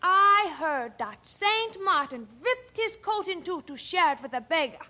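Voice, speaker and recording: A taunting boy, very high, bright, faint background noise. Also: very animated, a little slow, exaggerated voice. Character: mocking young boy, a taunting playmate.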